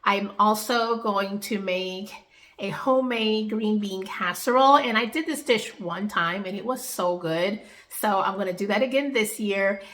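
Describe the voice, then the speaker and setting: Congested nasal woman, bright chatty voice. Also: mid-range voice, unhurried. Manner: chatty, cheerful, rambling. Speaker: vlogger. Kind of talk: casual home vlog talk to camera.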